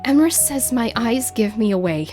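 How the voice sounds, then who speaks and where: A woman's voice: fairly high pitch, animated, moderate pace, warm tone, faint music behind, voice pushed up, close to an everyday voice. A woman voicing a frightened, pleading young woman in a fantasy audio drama, stammering and begging.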